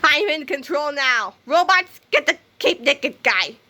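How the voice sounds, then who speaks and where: A woman's voice: high voice, sing-song, a little slow, sharp tone, voice pushed up, exaggerated voice. A woman voicing a menacing comic-book villain, boastful and commanding.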